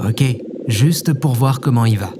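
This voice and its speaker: An anxious young man played by an actor, mid-range in pitch. He speaks hesitantly and awkwardly, in radio play style. There is clear ambient noise. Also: a little slow, lightly acted. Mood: worried, hesitant. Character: anxious, awkward young man worried about his cat. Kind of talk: scripted audio drama acting.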